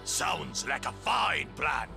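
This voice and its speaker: Hearty warrior, wildly swooping pitch. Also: male voice, sing-song, slow, full character voice. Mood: approving. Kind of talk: dramatic video game cutscene acting. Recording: music clearly behind the voice.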